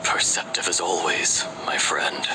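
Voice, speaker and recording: A man playing the calm, solemn spirit of an ancient dragon in a video game cutscene, speaking in a measured, formal way. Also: a bass voice, very noisy. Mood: weary, reflective. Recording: loud music over the voice. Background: loud cinematic music.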